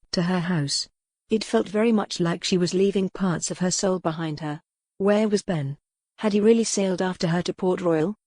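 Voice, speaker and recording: Low-pitched woman narrating historical romance. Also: darkish tone, dry recording, studio quality. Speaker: audiobook narrator.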